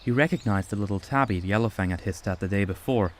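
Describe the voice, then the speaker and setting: A man narrates a storybook with steady, even delivery. His voice sits fairly low for a man. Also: fast, slight background noise.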